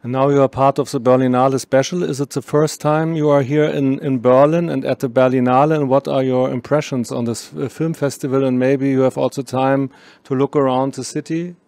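A middle-aged man interviewing guests at a film festival, asking long questions with many pauses, into a handheld mic in a small room. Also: medium pitch, fairly even intonation, a little mellow, no room sound. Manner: hesitant, asks long rambling questions.

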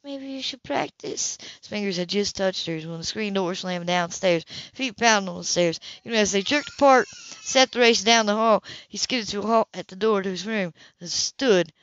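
A woman reading a romance novel aloud as an amateur audiobook reader, haltingly, with stumbles and misreadings. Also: mid-range voice, fairly bright tone, dry recording. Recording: dull lo-fi home recording.

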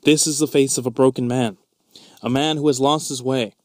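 A man's voice: medium pitch, fairly even intonation, normal speed, slight background noise. A man narrating in a brooding, overwrought mock-dramatic voice-over.

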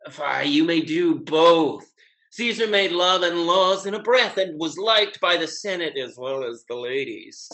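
A merry, hard-drinking rake in period stage comedy. He has a fairly high voice and declaims in grand, flourishing phrases. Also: lively intonation, a little slow, bright, forceful tone, full character voice. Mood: merry, boastful. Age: young adult. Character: merry, hard-drinking young rakish gentleman in love. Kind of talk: theatrical classical stage comedy acting. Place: home room, video call.

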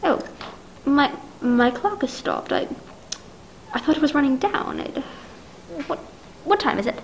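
A woman's voice: sits high, sing-song, measured pace, vocal fry, slight background noise, full character voice, rough recording. A young woman playing a frantic, demanding invalid wife in a 1940s-style radio play, stammering and repeating herself as she pleads. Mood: agitated, upset.

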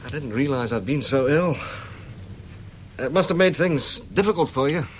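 An actor playing a hearty, easygoing archaeologist in a radio drama, talking informally and conversationally. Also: male voice, medium pitch, expressive, normal speed, close to an everyday voice. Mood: exhilarated. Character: hearty, easygoing archaeologist, male colleague back from illness. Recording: dull, faintly noisy radio recording.

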